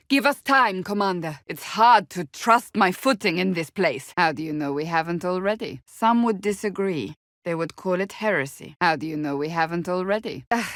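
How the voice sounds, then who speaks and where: A woman's voice: fairly low pitch, no echo, studio quality, lightly acted. An actress voicing a stern, devout warrior woman in a fantasy video game, speaking in a formal, blunt, commanding way. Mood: urgent, commanding, then grave, resolute.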